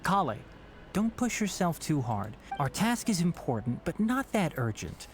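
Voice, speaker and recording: A man playing a sensible, caring young mentor in a video game, speaking in a measured, articulate and reassuring way. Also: fairly high pitch, very animated, a little hiss or hum. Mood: concerned. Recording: game music behind the voice.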